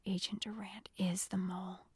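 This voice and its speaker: A woman voicing a protective young male agent in an audiobook, speaking in a calm, urgent, commanding whisper. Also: medium pitch, measured pace, slightly rough, no room sound, voice pushed down. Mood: hushed, firm. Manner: hushed, reassuring, commanding whisper. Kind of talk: audiobook character dialogue.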